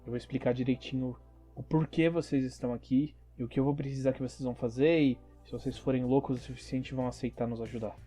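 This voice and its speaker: A man playing a calm, earnest secret-organization agent who speaks in a measured, formal, reassuring way, in an audio role-playing drama. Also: mid-range voice, slightly rough, faint music behind, lightly acted.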